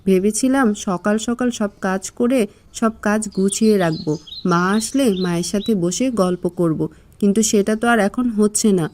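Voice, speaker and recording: A woman voicing an impatient, sharp-tongued village wife in a cartoon, speaking in a nagging, scolding way. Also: medium pitch, normal speed, slight background noise, close to an everyday voice. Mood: exasperated. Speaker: cartoon voice actor. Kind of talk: cartoon voice acting.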